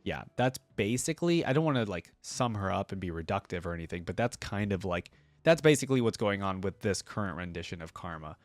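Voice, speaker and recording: A man's voice: deep voice, expressive, a little fast, dry recording. A man hosting a reaction video, reading lore aloud and adding casual, tentative asides in a conversational way. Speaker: host of a fan reaction channel.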